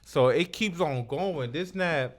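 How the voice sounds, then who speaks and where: A man's voice: fairly high pitch, takes it slowly. Emphatic, swearing man hosting a street interview. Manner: emphatic, repetitive, profane street talk. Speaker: interview host. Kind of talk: casual chat.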